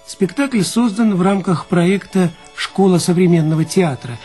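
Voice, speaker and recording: A male radio announcer delivers scripted credits in a formal, measured way. His voice is warm and relaxed, a little higher than average for a man, with light music underneath.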